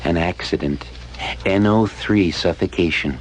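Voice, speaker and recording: An actor playing a servile, literal-minded mortuary assistant, formal and deadpan in a radio drama. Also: male voice, sits low, a little slow. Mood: obedient, puzzled. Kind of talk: audio drama acting.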